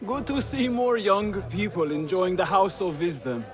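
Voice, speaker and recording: High-voiced, self-important scholar. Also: male voice, expressive, normal speed, crowd noise. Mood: proud, scornful. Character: vain, scornful established male scholar of astronomy. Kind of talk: video game cutscene acting.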